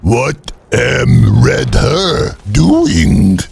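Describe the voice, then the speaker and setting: Halting gravelly monster brute. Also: male voice, medium pitch, very animated, darkish tone, a little hiss or hum, exaggerated voice. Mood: agitated. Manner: blunt, halting, broken speech. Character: slow-witted hulking monster man with broken grammar.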